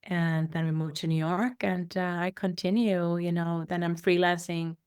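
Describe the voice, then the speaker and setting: A woman's voice: lowish voice, flat delivery, normal speed, a little mellow, smooth, dry recording, clean studio sound. A middle-aged woman from Iceland, a guest telling her life story in a rambling way with many fillers and restarts. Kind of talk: conversational interview storytelling.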